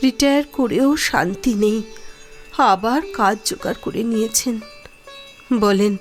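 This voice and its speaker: An actress playing a gentle, self-effacing daughter-in-law in a Bengali audio drama, speaking with deferential, soft reassurance. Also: female voice, fairly high pitch, measured pace, soft background music, natural voice. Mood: wistful musing.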